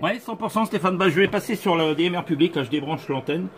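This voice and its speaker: A man's voice: fairly high pitch, quick pace, very noisy. A man, a radio operator, chatting casually on a two-way radio contact from indoors at home.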